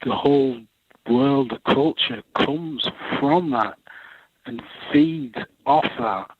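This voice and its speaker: A man from England with a Manchester accent, calling in to a radio show in a measured, earnest way. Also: medium pitch, slightly rough, dry recording. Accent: English, Manchester. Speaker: caller. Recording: tinny phone line.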